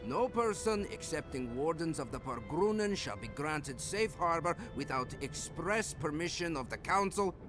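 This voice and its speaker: A man's voice: fairly high pitch, animated, moderate pace, few pauses, over music, lightly acted. A man voicing a pompous, pedantic, unwelcoming gatekeeper who quotes rules in a video game. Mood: officious, brusque. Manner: pompous, rule-quoting. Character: officious male gatekeeper, pedantic, gruffly unwelcoming. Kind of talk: video game cutscene acting.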